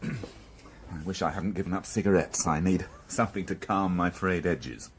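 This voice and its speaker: An actor playing a stubborn, middle-aged veteran police inspector in a radio drama, dry, grumbling and sardonic. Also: male voice, low-pitched, normal speed, slight background noise, lightly acted. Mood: flustered. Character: world-weary, stubborn veteran male police inspector, dryly sarcastic.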